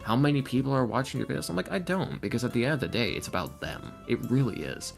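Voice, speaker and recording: A man's voice: medium pitch, animated, fast talker, vocal fry, faint music behind. A man talking casually and earnestly as a podcast guest, in an informal way. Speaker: podcast guest, ASMR roleplay creator. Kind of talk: casual interview chat.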